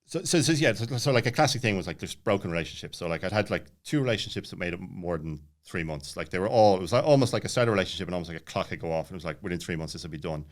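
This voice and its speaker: Fast-talking man with a low voice. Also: lively intonation. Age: late 30s. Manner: rambling, jumps around, many filler words. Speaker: podcast guest. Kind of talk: casual personal storytelling.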